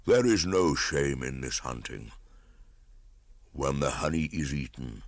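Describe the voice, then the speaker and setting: A weary old bear, voiced by a male storyteller, speaks slowly and gently. The voice is extremely low and grave, a tender old teacher in a storybook, with a dry, close sound. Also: expressive, no room sound, exaggerated voice. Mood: tender, consoling.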